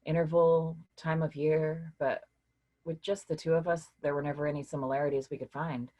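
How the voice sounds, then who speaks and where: A woman playing a weary but caring literature professor in a staged reading, speaking in a measured, hesitant, reassuring way with a husky voice. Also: sits low, little pitch movement, mellow. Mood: cautious, measured.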